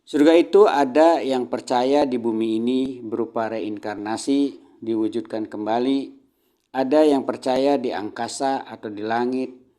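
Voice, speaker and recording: Measured Indonesian man lecturing, mellow voice. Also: medium pitch. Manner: measured, didactic, phrase-by-phrase delivery with frequent throat clearing. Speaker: religious lecturer. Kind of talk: Islamic sermon, delivered as a prepared lecture.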